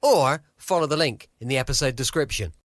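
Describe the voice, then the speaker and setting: A man's voice: medium pitch, animated, a little fast, dry recording, clean studio sound. A man reading a scripted sponsor advertisement as an announcer.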